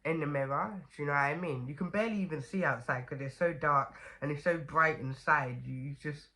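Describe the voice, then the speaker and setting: A woman's voice: low-pitched, a little fast, pushed and bright. A young woman with a stuffy, congested voice from a cold, chatting casually to camera as a vlogger with lots of fillers and "okay?" asides.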